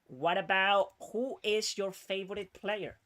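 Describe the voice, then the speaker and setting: Guy teaching english one on one, kinda high voice, super patient, keeps saying okay?. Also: fairly even intonation, a little slow, a bit bright, slight background noise. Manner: patient, checks in with frequent "okay?"; switches to Spanish to explain.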